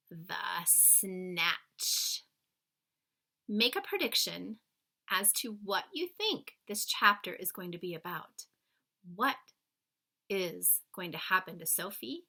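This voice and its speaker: A woman reading a children's story aloud in an expressive, suspenseful way, stretching key words for emphasis and chatting to young listeners. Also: on the low side. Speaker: children's book read-aloud reader.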